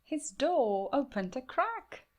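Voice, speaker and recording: A woman with an Indian accent reading a children's bedtime story aloud in a measured, expressive way. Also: high-pitched. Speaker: storybook reader.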